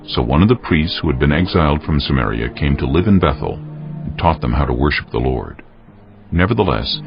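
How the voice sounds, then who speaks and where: A man narrating scripture from a script in a solemn, measured, dramatic storytelling style. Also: rumbling low. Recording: dull lo-fi audio, faint music underneath.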